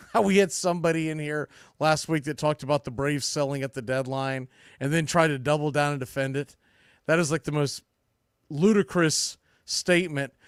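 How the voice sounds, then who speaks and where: Emphatic man hosting a sports podcast, clear voice. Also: fairly high pitch, no echo, studio quality. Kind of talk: opinionated baseball commentary.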